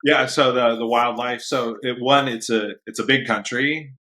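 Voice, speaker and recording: A man, a dog trainer, chatting casually as a podcast guest in a relaxed, rambling way, laughing often. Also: medium pitch, moderate pace. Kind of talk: casual interview chat.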